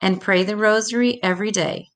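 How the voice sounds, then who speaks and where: An older woman reading a rhymed children's religious story aloud in a measured, deliberate way. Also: mid-range voice, steady tone, fairly bright tone.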